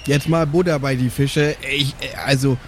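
A man's voice: medium pitch, fairly even intonation, normal speed, slight background noise, lightly acted. A man playing an impatient northern German boatman who haggles hesitantly in an improvised tabletop roleplay. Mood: haggling. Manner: hesitant haggling, tags sentences with "nicht?".

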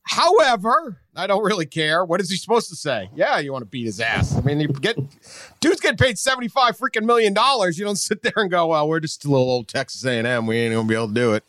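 A man's voice: a little high, very animated, fast talker, fairly bright tone, dry recording, studio quality. A man with a Boston accent hosting a sports podcast in casual, joking chat. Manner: joking, sardonic. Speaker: podcast host.

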